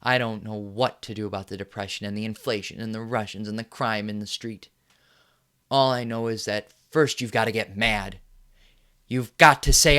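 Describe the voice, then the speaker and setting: An actor playing a furious middle-aged TV newsman who delivers an impassioned on-air rant that repeats itself and builds to yelling. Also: fairly low pitch, clear, resonant tone, lightly acted. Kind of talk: film monologue.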